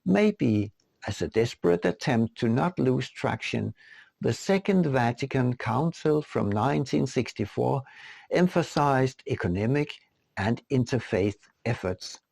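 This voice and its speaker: A man reading his own written text as an audiobook narrator, in a deliberate, rhetorical manner. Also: medium pitch, expressive, vocal fry, darkish tone, breathy edge, no room sound. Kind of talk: scripted narration.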